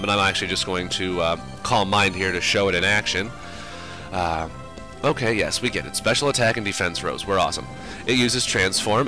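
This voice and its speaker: A man's voice: on the low side, bright, forceful tone. A young man with a cold, sounding sinusy, casually rambling through let's play commentary with lots of fillers. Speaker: game commentator.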